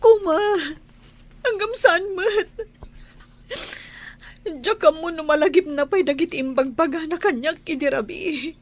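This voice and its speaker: A woman's voice: very high voice, unhurried, long pauses. A woman with a Filipino accent playing a plaintive, distressed young wife in a radio drama. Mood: troubled. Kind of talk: Ilocano radio drama acting.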